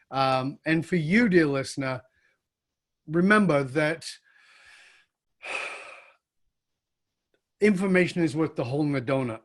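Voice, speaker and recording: A man from the UK hosting an interview podcast, talking with enthusiasm as he wraps up with his guest. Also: on the high side. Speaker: podcast host.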